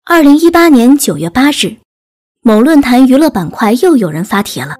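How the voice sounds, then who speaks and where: A woman narrates a novel in a fairly high, lively voice with wide swings of pitch. Music plays beneath her scripted narration. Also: moderate pace. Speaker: audiobook narrator.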